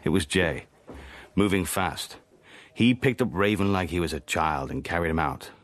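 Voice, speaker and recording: An actor playing a world-weary, sardonic Cold War spy, narrating a radio drama in a dry, understated manner. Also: male voice, deep voice, moderate pace, natural voice. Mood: brooding, wry. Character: wry, world-weary Cold War secret agent, insubordinate.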